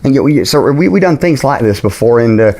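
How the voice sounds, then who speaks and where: A sheepish, muddled middle-aged drinker played by a man on a prank phone call. He stumbles and repeats himself in a mid-pitched voice, politely apologizing as he rambles along. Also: animated, quick pace, darkish tone, natural voice. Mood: rambling, sheepish. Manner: stammering, hesitant, repeats words, overly polite. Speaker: prank caller.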